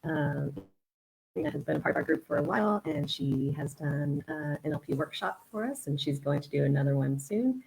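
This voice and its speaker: Woman host, low voice, gravelly, upbeat, video call interview. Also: animated, a little fast, a little mellow, low-quality recording. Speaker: host, group founder. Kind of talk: online interview.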